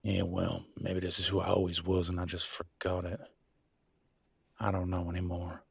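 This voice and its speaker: A man's voice: low voice, monotone, normal speed, gravelly, breathy edge, no echo, clean studio sound, heavily acted. A man playing a proud, wounded werewolf husband and country vet in a roleplay audio drama, with a drawl and a folksy, bitter, raw way of talking. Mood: subdued, weary. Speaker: voice actor. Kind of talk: dramatic roleplay audio, one side of a couple's argument.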